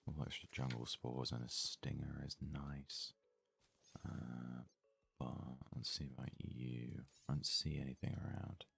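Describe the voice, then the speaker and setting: A man giving casual ASMR let's play commentary in a very soft, hushed, breathy voice, murmuring his thoughts aloud without hurry. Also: a bass voice, flat delivery, faint music behind. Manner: unhurried, murmured thinking aloud. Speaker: gaming video host.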